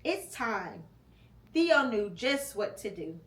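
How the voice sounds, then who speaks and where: Slow, expressive mother reading aloud. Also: mid-range voice. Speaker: mother reading to her child. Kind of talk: storybook read-aloud. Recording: echoey room with faint hum.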